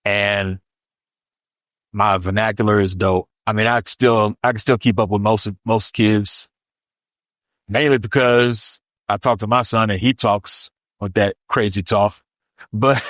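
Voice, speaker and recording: A man, co-hosting a podcast in casual storytelling chat that is animated and full of laughs. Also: measured pace, dry recording. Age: 40s. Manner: animated, laughs often, acts out quotes in a high voice. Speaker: podcast co-host.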